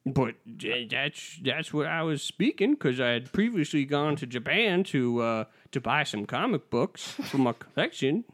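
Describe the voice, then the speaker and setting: Animated, boastful comedian man. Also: medium pitch, measured pace, few pauses. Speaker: improv comedian. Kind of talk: improvised comedy bit.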